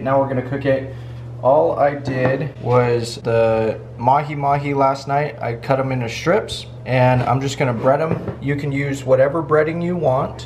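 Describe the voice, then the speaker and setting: A man's voice: medium pitch, background noise. A man in his thirties, chatty and upbeat, talking through a cooking vlog in his home kitchen. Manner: upbeat, chatty, explains each step. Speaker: cooking vlogger. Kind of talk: casual cooking vlog to camera.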